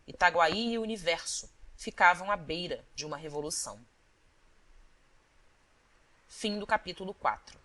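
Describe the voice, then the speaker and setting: A woman's voice: on the low side, lively intonation, normal speed, long pauses, bright, forceful tone. Woman narrating a literary audiobook.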